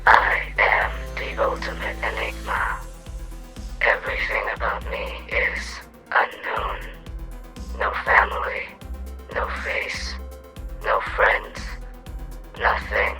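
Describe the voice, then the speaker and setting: A nameless, empty enigma in an audio drama. The voice is flat and whispery, crackling with gravel and hard-edged, drawing out each cryptic line ominously. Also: male voice, lowish voice, takes it slowly, long pauses, soft background music, full character voice. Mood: cryptic, hollow. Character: emotionless, cryptic enigma, a nameless void of nothingness. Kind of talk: audio drama character acting.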